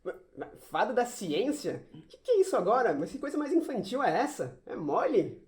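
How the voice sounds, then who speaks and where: A woman's voice: fairly high pitch, sing-song, moderate pace, a little mellow. A woman acting as a sulky, easily flustered schoolboy in an amateur audio drama, stammering with exasperation. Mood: scornful. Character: whiny, sulky schoolboy who hates science, easily flustered.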